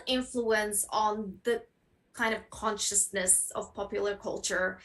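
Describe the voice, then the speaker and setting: A woman's voice: medium pitch, steady tone, slow pace, a bit bright, low-quality recording. Woman panelist, thoughtful and searching. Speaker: panel guest speaker. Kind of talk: academic panel discussion.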